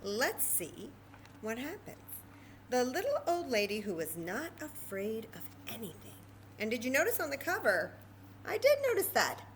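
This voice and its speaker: A woman storyteller reading aloud to young children in an expressive, chatty way, full of wonder and questions. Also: fairly high pitch, normal speed, a little hiss or hum, low-quality recording.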